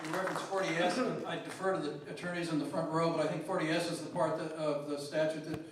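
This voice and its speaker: Flat-voiced man, distant echoey hall. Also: on the high side, quick pace, crowd noise, background noise. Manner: flat, tentative correcting. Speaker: town official. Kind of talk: official reply at town meeting.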